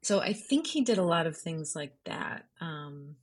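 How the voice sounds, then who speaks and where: A woman with a low voice and a husky edge speaks as a guest in an interview. She explains her work in a conversational, thoughtful way, often searching for the right word as she goes. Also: big pitch swings, measured pace. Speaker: journalist guest. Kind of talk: conversational interview, explaining their writing process.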